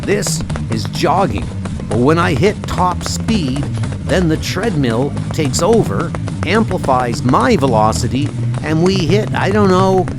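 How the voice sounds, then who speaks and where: A man voicing a brash, urgent young superhero in a comic-book audio drama, with an excitable, emphatic delivery. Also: a little high, slow pace, exaggerated voice. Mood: excited, eager. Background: loud dramatic music.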